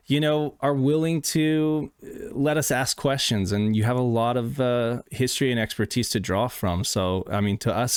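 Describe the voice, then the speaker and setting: A man's voice: medium pitch, clear, resonant tone, no echo, studio quality. A man co-hosting a podcast interview, easygoing and chatty, asking long rambling questions. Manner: easygoing, frequent backchannel hums. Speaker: podcast co-host. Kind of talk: casual interview talk.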